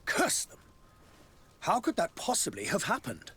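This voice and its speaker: An actor playing a grave, pious high clergyman in a video game, solemn and theatrical with a husky voice. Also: huge pitch swings, a little slow, a bit bright, slight background noise, heavily acted. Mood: outraged. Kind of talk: scripted video game cutscene acting.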